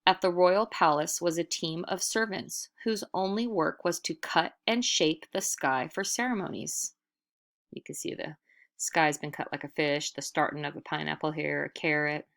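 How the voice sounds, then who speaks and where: A woman storyteller reading a picture book aloud in an expressive, dramatic way with deliberate emphasis. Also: low voice, gravelly.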